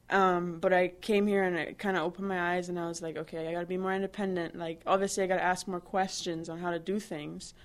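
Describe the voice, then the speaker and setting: A woman in her twenties from Barbados, answering interview questions in a chatty way, with many fillers and restarts. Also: fairly low pitch. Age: 20s. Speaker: interviewee, new employee.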